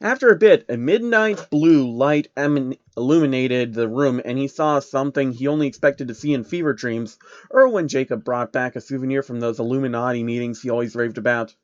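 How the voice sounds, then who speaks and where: A man's voice: mid-range voice, a little fast, no room sound, highs cut off. A man reading fan fiction as narrator, theatrical, with amused asides. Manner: animated, theatrical.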